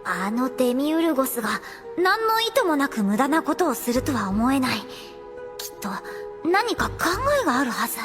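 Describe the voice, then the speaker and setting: A woman playing a bold, quick-witted child in an anime audio drama. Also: high-pitched, big pitch swings. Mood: puzzled. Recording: clear music behind the voice.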